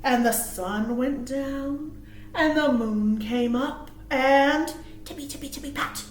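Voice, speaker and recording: High-pitched woman reading slowly to children. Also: echoey room, a little hiss or hum. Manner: expressive, asks listeners questions, dramatic emphasis. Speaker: children's librarian reading at a virtual storytime. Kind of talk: animated read-aloud to young children.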